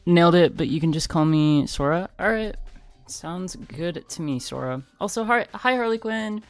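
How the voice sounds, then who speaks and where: A young woman streamer talking in a fairly low voice, congested and a bit sore-throated. She chats loosely with viewers, laughing and cursing as she goes. The sound is dry and close, with faint game music playing underneath. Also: lively intonation, normal speed, no echo. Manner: chatty, laughs often, swears freely. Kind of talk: casual game livestream chat.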